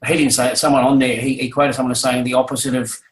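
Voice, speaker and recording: A roomy, echoing recording of a man as interviewer. His voice is mid-pitched, and he talks casually, a little hesitantly, in a complimentary way. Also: little pitch movement, quick pace. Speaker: guest interviewer.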